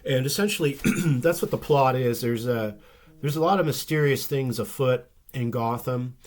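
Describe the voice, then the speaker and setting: A man chatting casually about comic books, his voice a little rough and nasal from a recent flu, rambling with many ums and restarts. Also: medium pitch, normal speed, darkish tone. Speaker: comic book vlogger. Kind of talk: casual chat.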